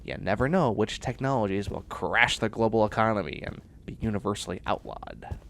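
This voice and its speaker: A man's voice: fairly low pitch, fairly quick, creaky, a bit bright, a little hiss or hum. A man playing a nerdy, self-assured young bioengineering student in a comedic sci-fi audio roleplay, chatty, snarky and boastful. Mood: grumbling. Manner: chatty, snarky, boastful, muttering asides and exclamations.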